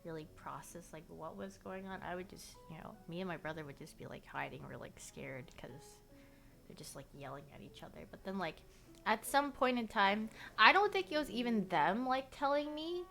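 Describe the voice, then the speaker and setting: A woman's voice: medium pitch, lively intonation, moderate pace, creaky, over music. A young woman whose first language is Korean, livestreaming casual chat with viewers. She rambles, fills her talk with "like," and laughs often.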